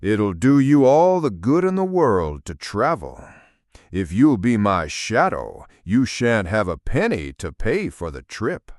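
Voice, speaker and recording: Guy doing a smug living shadow for a storybook, boastful rich gent talking down to you, pitch all over the place, really dry sound. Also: huge pitch swings, a little slow, a little mellow, dry recording. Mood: breezy, condescending. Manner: boastful, condescending. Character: smug, self-important living shadow turned wealthy gentleman.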